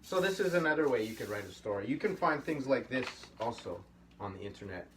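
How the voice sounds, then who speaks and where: A man's voice: medium pitch, lively intonation, brisk. A middle-aged man teaching a casual puppetry workshop at a table, easygoing and encouraging, often rambling. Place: indoor studio room at a table. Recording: slightly distant mic, faint room hum.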